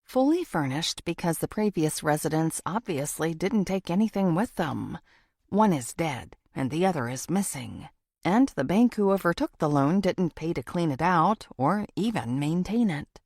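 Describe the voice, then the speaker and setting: Low, creaky-voiced woman narrating an audiobook. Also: lively intonation, moderate pace, darkish tone, dry recording, clean studio sound. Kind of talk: scripted first-person thriller audiobook narration.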